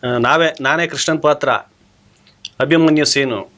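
A man's voice: a little high, steady tone, a little slow, fairly bright tone. A man tells anecdotes in an informal way. He is an amateur stage actor and voices the people in his stories as he goes. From rural Karnataka, India. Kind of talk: casual anecdote telling.

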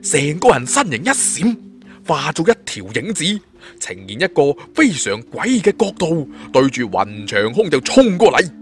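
A man narrating an adventure tale in as a theatrical, expressive audiobook storyteller. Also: a little high, normal speed, faint music behind.

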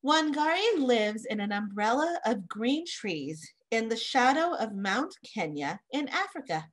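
Female storyteller, thirties, slightly high register, very unhurried children's read-aloud, careful and simple phrasing. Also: fairly bright tone. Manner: careful phrasing, pausing to explain words simply. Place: small indoor room, home office.

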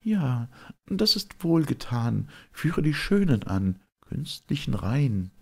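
Man as a tender noble mother, lifted pitch. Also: sing-song, takes it slowly, creaky, husky, exaggerated voice. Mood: approving. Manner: lofty verse declamation. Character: noble, tender, pleading mother in a classical drama.

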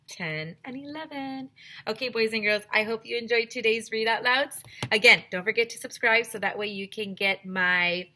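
Husky-voiced woman teacher reading aloud. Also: medium pitch. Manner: expressive storytelling, addresses kids directly. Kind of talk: children's read-aloud. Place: small home room with classroom decorations. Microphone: headset.

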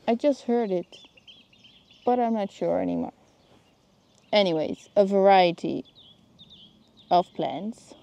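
Dutch woman, slow unhurried talk, soft mellow tone, thinking aloud, outdoor garden, phone mic. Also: medium pitch, gravelly, lo-fi sound. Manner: searching for words, thinking aloud. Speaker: gardening vlogger. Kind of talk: casual garden vlog.

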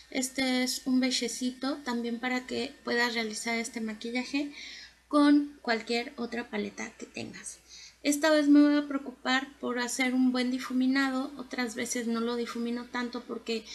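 High-voiced woman, chatty makeup tutorial. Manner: chatty, instructive. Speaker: beauty vlogger. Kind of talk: makeup tutorial narration, casual. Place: bedroom at home.

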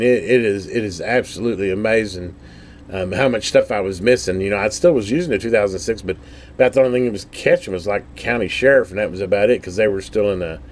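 A man's voice: lowish voice, a little hiss or hum. A man giving a casual, unscripted product demonstration in a rambling, folksy way, thinking aloud as he goes. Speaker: scanner hobbyist giving a product overview.

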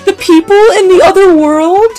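A woman chatting casually while playing a game, excitable and slangy. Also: very high-pitched, normal speed. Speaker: game player. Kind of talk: casual game stream chat. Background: game music.

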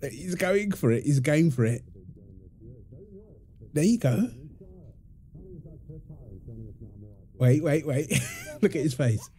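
A man's voice: a little high, lively intonation, measured pace, mellow. A man playing a playful, doting young father in an ASMR roleplay, teasing and affectionate with his family. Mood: amused, delighted. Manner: teasing, affectionate, laughing easily. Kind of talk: ASMR roleplay, improvised casual talk.

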